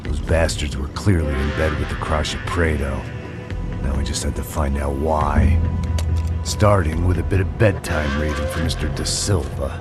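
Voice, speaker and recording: An actor playing a cynical middle-aged American ex-cop, narrating his downfall in a brooding, deadpan hardboiled style for a video game. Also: very deep voice, moderate pace. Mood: bitter, brooding. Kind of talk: hardboiled noir scripted narration. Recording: voice over loud music.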